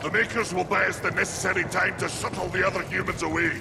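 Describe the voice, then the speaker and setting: Gravelly giant, echoing. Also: male voice, high-pitched, fairly even intonation, fast talker. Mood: hearty, proud. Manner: gruff, hearty, theatrical. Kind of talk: dramatic video game cutscene acting. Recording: echoey hall, clear background noise.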